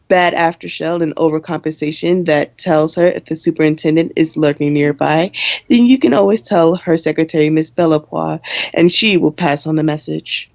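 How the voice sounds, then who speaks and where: A woman playing a brisk, deadpan student co-hosting a campus radio show in a radio play, formal on announcements and wryly exasperated in her scolding. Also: deep voice, no echo, lo-fi sound. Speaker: radio co-host character. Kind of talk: radio play in campus news broadcast style.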